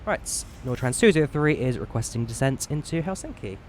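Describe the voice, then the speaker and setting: A man's voice: medium pitch, big pitch swings, fairly quick, darkish tone, a little hiss or hum. A man giving casual live stream commentary while flying a simulator, chatty and self-deprecating.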